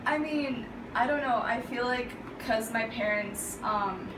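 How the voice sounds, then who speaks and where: A woman's voice: fairly high pitch, measured pace. A young woman born in the US answering questions casually, with frequent likes and ums. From United States. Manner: chatty, says like often. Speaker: interviewee. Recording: echoey room from far off, hissy.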